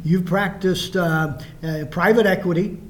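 A man hosting a radio interview at a banquet, asking questions in a halting way with many restarts. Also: high-pitched, steady tone. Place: banquet hall.

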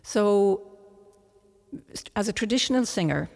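An Irish woman, a traditional singer whose first language is Irish, speaking calmly as an interview guest in a monastery room. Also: medium pitch, a little slow, vocal fry, long reverb tail. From Ireland.

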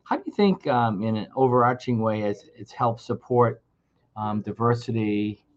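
Brief: male radio co-host, low voice, upbeat, muffled lo-fi sound. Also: moderate pace. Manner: enthusiastic, repeats words when starting. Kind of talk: radio interview. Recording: dull lo-fi radio audio.

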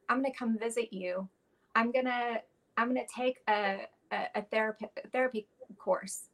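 Interview guest, woman, bright and clear voice, heartfelt, stop-start phrasing. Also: mid-range voice. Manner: earnest, heartfelt, with frequent restarts and fillers. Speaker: actress guest. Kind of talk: casual interview talk.

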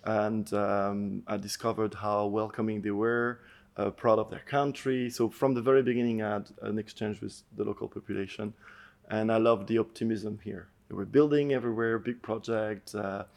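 Low gravelly man, thoughtful. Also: little pitch movement, moderate pace, darkish tone. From France. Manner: earnest, thoughtful, searching for words. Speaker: podcast guest, endurance athlete. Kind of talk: reflective storytelling interview.

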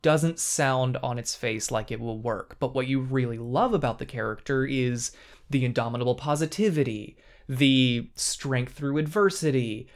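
A man co-hosting a casual podcast chat about tabletop games, enthusiastic, talking in quick bursts with playful asides. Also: mid-range voice.

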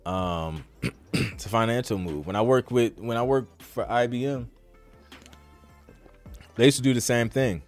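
A man's voice: medium pitch, a little slow, long pauses, soft background music. An American man hosting a podcast, chatting casually through a live Q&A in an informal, slangy, rambling way. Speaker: podcast host.

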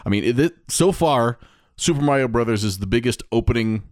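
A man's voice: medium pitch, lively intonation, brisk, no room sound, studio quality. A man co-hosting a podcast, talking casually in an informal, conversational manner. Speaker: podcast co-host.

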